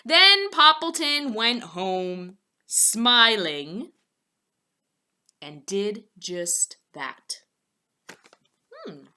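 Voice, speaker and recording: Female children's read-aloud host: very bright tone, unhurried pace, expressive, chatty asides to young listeners. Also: on the high side, clean tone. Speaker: storybook reader for children.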